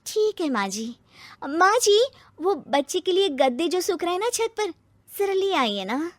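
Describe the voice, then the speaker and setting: A woman plays a young cartoon daughter-in-law who feels put upon. She grumbles and whines in a very high voice that swings animatedly up and down. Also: sing-song, a little slow, no echo, full character voice. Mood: complaining. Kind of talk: cartoon story character acting.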